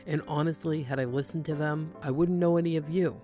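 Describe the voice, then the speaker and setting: A woman in her thirties, a cleaning channel host, speaking in a soft, earnest, sincere voice-over. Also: low voice, fairly even intonation, brisk, soft background music. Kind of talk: heartfelt voice-over narration.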